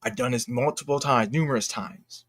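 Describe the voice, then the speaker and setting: A man's voice: mid-range voice, fairly bright tone, no room sound. Blunt man with a very dry sound. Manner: halting, repeats phrases for emphasis; blunt, emphatic. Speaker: streamer and commentator. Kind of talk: casual opinionated livestream commentary.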